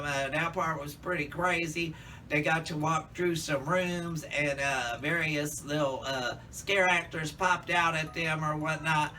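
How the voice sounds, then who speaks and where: A man's voice: a little high, sharp tone, far from the mic, a little hiss or hum. A man in a casual, campy monologue, chatting to viewers as a drag queen reviewer.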